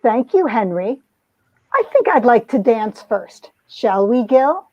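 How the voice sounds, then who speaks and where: A woman's voice: a little high, sing-song, slow pace, darkish tone, no room sound, muffled recording, full character voice. A woman playing a lovestruck young society heroine who narrates her romance with earnest melodrama, in an amateur comic reading. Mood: happy. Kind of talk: dramatic reading of a 1950s romance comic, first-person narration.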